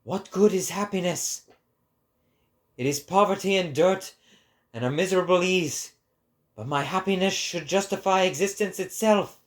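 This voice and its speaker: A man voicing an impassioned prophet preaching to a crowd, declaiming grandly and deliberately in a dramatic philosophical reading. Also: high voice, voice pushed up, lightly acted. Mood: scornful, impassioned.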